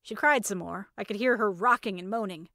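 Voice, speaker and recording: A woman reading a first-person story as narrator, in a wry, expressive, dramatic way. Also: mid-range voice, a little fast, no echo, studio quality. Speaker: narrator of audio fiction.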